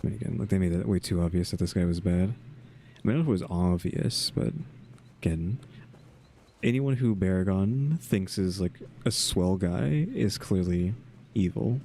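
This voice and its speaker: A man's voice: sits low, moderate pace, dark tone, slight background noise. A man giving casual gameplay commentary, rambling and thinking aloud as he searches for words. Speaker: streamer playing and commenting on a game.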